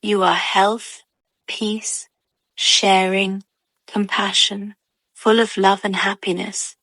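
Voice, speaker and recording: A woman's voice: medium pitch, flat delivery, takes it slowly, a bit bright, no echo. A woman narrating a guided meditation in a soothing, instructional way.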